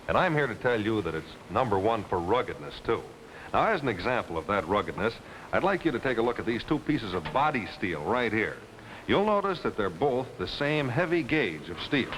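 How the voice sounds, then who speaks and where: An American man delivering an emphatic, folksy sales pitch as a 1950s television commercial announcer. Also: fairly bright tone. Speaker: TV commercial announcer for car dealers. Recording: noisy vintage 1950s television soundtrack.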